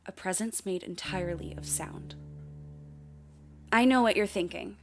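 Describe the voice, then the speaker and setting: A woman's voice: mid-range voice, a little slow, slight background noise, natural voice. An actress playing a shaken but determined young woman, telling her story in earnest, confiding first-person narration for an audio drama. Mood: confiding, grave.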